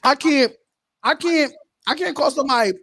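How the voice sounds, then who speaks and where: A man's voice: very high voice, moderate pace, fairly bright tone, no echo. A man hosting a casual live sports call-in stream, talking in slangy, repetitive phrases. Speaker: host of a sports call-in show.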